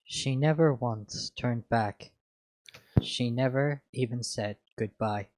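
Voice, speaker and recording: A bitter, lonely female machine mind read by a man, full of contempt in a slow fan fiction monologue. The voice is medium-pitched and sounds close and dry. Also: darkish tone, no room sound, close to an everyday voice, rough recording. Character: bitter, contemptuous female artificial intelligence left alone. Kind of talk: dramatic fan fiction reading.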